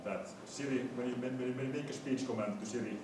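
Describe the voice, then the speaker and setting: A man giving an academic lecture in a halting, hesitant way, often repeating the starts of his words. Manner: hesitant, halting delivery with stammered word repetitions. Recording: very echoey room, distant mic, noisy rumble.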